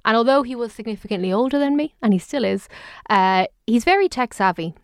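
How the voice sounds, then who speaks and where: A woman's voice: on the high side, lively intonation, fairly quick, gravelly, clear, resonant tone, no echo. Dry-voiced woman radio host. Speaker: radio tech show host. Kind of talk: radio presenting and interviewing.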